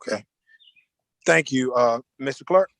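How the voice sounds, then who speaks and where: A man chairing a formal virtual meeting in a halting, courteous way. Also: medium pitch, vocal fry, a bit bright, dry recording.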